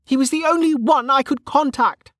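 A woman's voice: high-pitched, normal speed, no echo, studio quality, voice pushed up, lightly acted. A woman voicing a bitter, weary, hot-tempered and foul-mouthed woman in an audiobook, snappish and scornful. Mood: angry, defiant.

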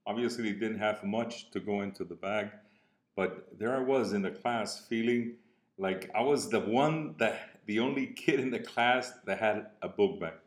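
A man's voice: on the low side, moderate pace. Cuban-accented older man telling his story. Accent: Cuban Spanish. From Cuba. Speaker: business coach. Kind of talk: personal storytelling talk. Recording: roomy echo.

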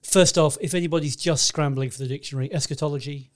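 Quick-talking joking male co-host. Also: on the high side, steady tone. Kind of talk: podcast interview.

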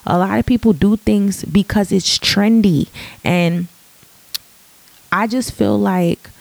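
A woman with a deep, raspy morning voice, speaking in an earnest, reflective way in a casual podcast monologue, full of ums and restarts. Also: steady tone, slow pace, darkish tone, no room sound, poor audio.

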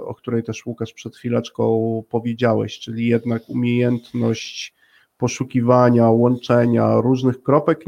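A man's voice: medium pitch, creaky, dark tone, dull audio. A man hosting a conversational radio interview, speaking in a reflective, searching way. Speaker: radio show host.

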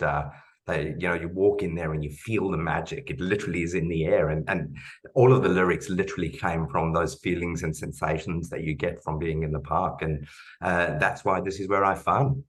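A man's voice: low-pitched, fairly even intonation, fairly quick. A man, a guest in an interview, talking about writing a jingle in an enthusiastic, restarting way. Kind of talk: casual interview chat.

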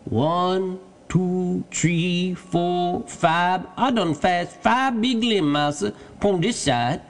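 A man's voice: high voice, very slow, voice pushed up, exaggerated voice. A man voicing an eager, fearful male servant in an audiobook story, calling out in broken rustic dialect. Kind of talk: dramatized audiobook character.

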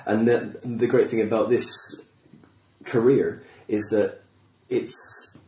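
A man's voice: lowish voice, flat delivery, slow pace, warm tone, a little hiss or hum. A man chatting casually as an interviewer and stand-up comic, reflective and given to chuckling. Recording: roomy, echoey indoor sound.

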